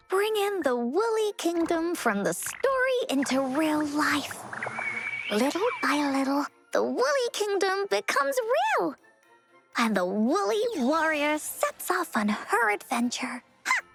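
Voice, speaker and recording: A woman voicing a cheerful, imaginative young girl who tells adventure stories in an expressive, childlike way for a video game. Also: sits high, takes it slowly, faint music behind, heavily acted. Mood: earnest storytelling. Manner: childlike, expressive, storybook-style narration. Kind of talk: video game voice acting, storytelling.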